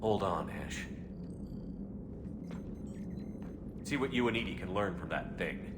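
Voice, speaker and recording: Male, game role: grim military starship captain, low-pitched, terse, commanding, over noisy ambience. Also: expressive, fairly quick. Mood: commanding, urgent. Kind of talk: video game cinematic acting.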